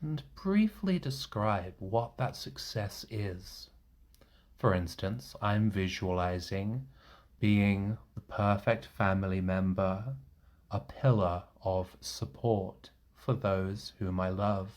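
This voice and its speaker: A man with a fairly low voice guides a meditation at a very slow pace. He speaks gently and reassuringly in short, soothing instructions. Long pauses separate his phrases.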